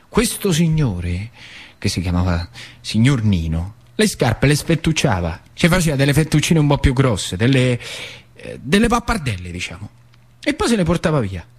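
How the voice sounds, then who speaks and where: Old factory hand, northern Lazio accent, earthy nostalgic storyteller for stage monologue, lively highish male voice. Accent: central Italian, northern Lazio. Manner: colloquial, rambling, confiding. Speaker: stage actor. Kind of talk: theatrical monologue in dialect.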